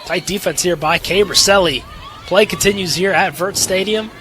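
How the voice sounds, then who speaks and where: A man's voice: high voice, moderate pace, a crowd in the background, background noise. A young man calling play-by-play sports commentary as an announcer. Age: young adult.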